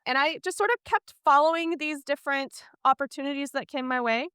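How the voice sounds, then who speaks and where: A woman's voice: high-pitched, fairly quick, bright, forceful tone, dry recording, clean studio sound. A woman from Iceland speaking as a podcast guest, telling her career story in a candid, chatty way.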